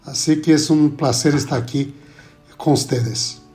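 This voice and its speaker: A middle-aged man from Brazil, a pastor with a Brazilian Portuguese accent, talking in a relaxed, halting way with frequent tag questions as a podcast guest. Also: a little high, soft background music. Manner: relaxed, adds "no?" tags, halting Spanish. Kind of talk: podcast interview.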